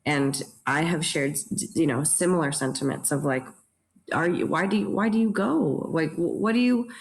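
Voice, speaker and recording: A woman with a low-pitched voice speaks in a panel discussion as a theatre director. She is earnest and reflective, leaning on key words for emphasis and often checking that others follow. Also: normal speed.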